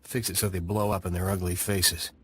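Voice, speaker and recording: A blunt alien scout chief who wants his enemies hurt. His voice is very deep and husky, and his tone is gruff and impatient. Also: little pitch movement, fairly quick, a bit bright.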